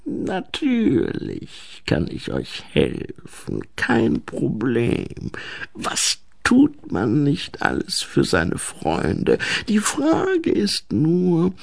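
Storybook forest gnome: sly, touchy, theatrical wheedler, very slow, extremely varied pitch. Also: male voice, very animated, vocal fry, fairly bright tone, exaggerated voice. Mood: sly, lurking. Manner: theatrical, wheedling, drawn-out delivery. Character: sly, theatrical, easily offended male forest gnome troll.

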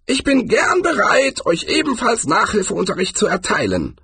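A spry old barbarian hero from a storybook. The voice is high, creaky and badly husky, swinging wildly in melody. He drawls with a calm, condescending kindliness. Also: dry recording, full character voice. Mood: mockingly helpful. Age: older. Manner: slow, drawn-out, condescendingly kindly.

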